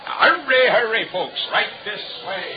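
An actor playing a carnival barker who calls out to passing crowds in 1940s radio drama style. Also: male voice, high voice, big pitch swings, measured pace. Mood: barking. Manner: bellowing sales patter. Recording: lo-fi roomy recording from far off. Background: loud carousel music.

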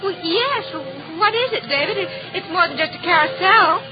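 An actress playing a reporter's cheerful, gently teasing young wife in 1940s radio drama style. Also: female voice, extremely high pitch, lively intonation, normal speed. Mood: amused. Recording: dull old radio recording with music.

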